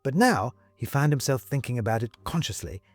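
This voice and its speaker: A man narrating a scripted story as an audiobook reader, with wry, deliberate, emphatic phrasing. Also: mid-range voice, lively intonation, no room sound, faint music behind.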